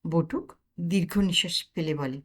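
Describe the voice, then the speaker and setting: A woman narrating a comic story in an expressive storytelling way. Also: deep voice, moderate pace, breathy edge.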